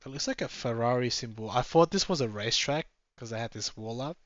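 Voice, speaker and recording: A man chatting his way along a drive, thinking out loud and wandering between topics. His voice sits mid-range, recorded dry and close inside a car, with a slightly dull, muffled edge. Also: medium pitch, brisk, no room sound. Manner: chatty, musing aloud, easily sidetracked. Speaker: travel vlogger. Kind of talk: casual running commentary from a car.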